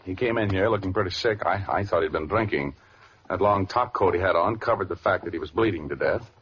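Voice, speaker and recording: A man's voice: low-pitched, a little fast, a little hiss or hum. A man playing a wisecracking, slangy private investigator in a 1940s-style noir radio drama. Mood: brisk, matter-of-fact. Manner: slangy, wry. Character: hard-boiled, wisecracking male private investigator. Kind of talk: 1940s radio acting, noir first-person narration. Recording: dull old radio recording, loud music.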